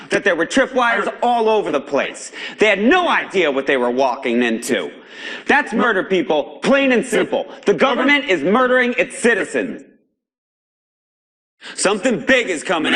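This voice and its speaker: An actor playing a defiant, paranoid underground broadcaster in a video game, ranting sarcastically and indignantly in colloquial American English with an American accent. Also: male voice, sits high, fairly bright tone. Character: defiant, paranoid pirate radio agitator, adult man. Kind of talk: impassioned pirate broadcast rant.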